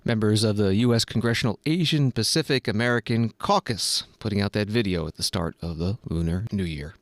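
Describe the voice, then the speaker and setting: Guy reading radio news, lowish voice, dry close mic, steady and measured. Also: dry recording.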